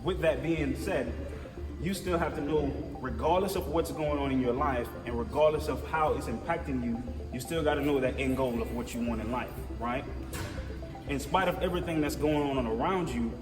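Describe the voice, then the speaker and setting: A man's voice: medium pitch, moderate pace, over music. Motivational speaker, man from Jamaica, casual storyteller who works the crowd; distant echoey hall recording. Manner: energetic, casual storytelling, checks in with audience. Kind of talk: conference talk with call-and-response. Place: large conference hall.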